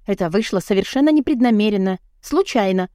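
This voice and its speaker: A woman's voice: medium pitch, animated, a little fast, warm tone, no echo, clean studio sound, lightly acted. Flustered, indignant young woman acted by a woman reader. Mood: flustered, apologetic. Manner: chattering, pleading, protesting. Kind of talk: audiobook character dialogue.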